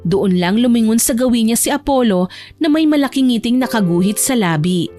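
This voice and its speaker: A woman's voice: on the high side, animated, brisk, mellow. A woman reading scripted narration as the storyteller of a romance audio drama. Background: background music.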